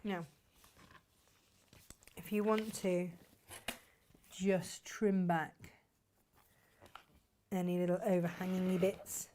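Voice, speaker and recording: A woman hosting a sewing tutorial, her voice a little croaky from hay fever, chatting casually to viewers while she cuts fabric. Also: fairly low pitch, fairly even intonation, slow pace, long pauses, warm tone, a little hiss or hum. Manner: chatty, self-deprecating, talks to viewers while working. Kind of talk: casual craft demonstration.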